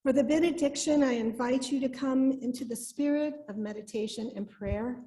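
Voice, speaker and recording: A woman speaks as a preacher in a roomy, echoing space. Her voice is a little high and soft-edged in tone, and she sets out each short phrase solemnly, as in a prayer. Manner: solemn, deliberate, measured phrasing with pauses. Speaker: lay preacher reading a sermon and benediction. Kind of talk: sermon, prayerful benediction. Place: church service in a reverberant room.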